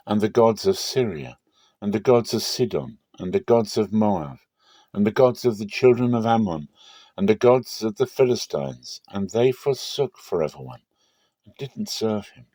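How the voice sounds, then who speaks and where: Low-voiced man reading scripture, dry sound. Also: animated, unhurried, mellow, dry recording. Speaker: Bible reader.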